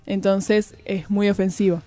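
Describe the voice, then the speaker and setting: A woman's voice: mid-range voice, little pitch movement, a little slow, mellow, no room sound. A woman with an Argentine accent hosting a casual radio chat in a reflective, giggly manner. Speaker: radio show host.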